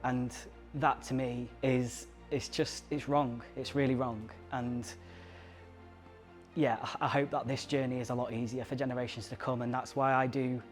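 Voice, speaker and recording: A man from Surrey speaking earnestly and emotionally in an interview, pausing and restarting as he goes. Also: mid-range voice, little pitch movement, soft background music. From Surrey, England. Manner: earnest, halting, moved. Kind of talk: emotional personal testimony.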